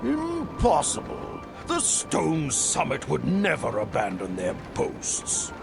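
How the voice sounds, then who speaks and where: Suspicious dwarf warlord, gravelly, fairly high, swooping intonation, theatrical, game cutscene. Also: male voice, wildly swooping pitch, slow pace, exaggerated voice. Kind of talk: video game cutscene acting. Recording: loud music behind. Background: loud music.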